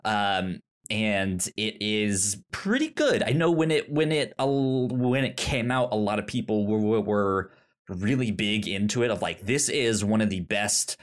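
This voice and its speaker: A podcast co-host chatting casually, speaking haltingly with frequent restarts. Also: mid-range voice, animated. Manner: halting, many fillers and restarts. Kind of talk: casual chat.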